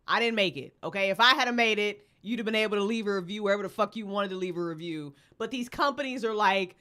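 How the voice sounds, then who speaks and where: A woman's voice: medium pitch, fairly quick, bright, forceful tone. A woman hosting a live stream in a casual, playful, rambling way with plenty of swearing. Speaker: livestream host, podcast co-founder, writer and director. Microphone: streaming setup with webcam and headphones.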